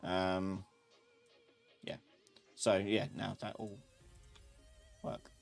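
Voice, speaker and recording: A man's voice: deep voice, flat delivery, extremely slow, gravelly. Man giving a casual live tutorial, music behind. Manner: informal, loose step-by-step explaining. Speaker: instructor of a live software class. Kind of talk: casual live tutorial, thinking aloud while demonstrating.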